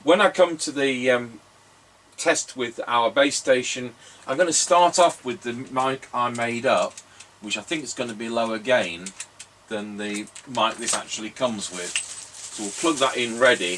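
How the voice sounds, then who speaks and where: A man's voice: medium pitch, bright, forceful tone. A man giving casual commentary as a radio technician testing equipment. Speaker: radio repair technician and presenter. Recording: distant mic, background noise.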